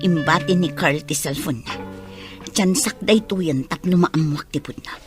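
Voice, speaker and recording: A woman's voice: low-pitched, normal speed, over loud music, natural voice. A Filipino-accented woman playing a hurt, suspicious wife in an emotional, pleading radio drama style. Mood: sorrowful. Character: hurt, suspicious wife confronting her straying husband.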